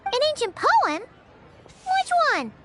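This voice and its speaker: A woman voicing a tiny, chirpy and cheeky fairy companion girl in an anime game, squeaky, childlike and excitable. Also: takes it slowly, very noisy, heavily acted. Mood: teasing, doubtful. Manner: refers to herself in third person, excitable.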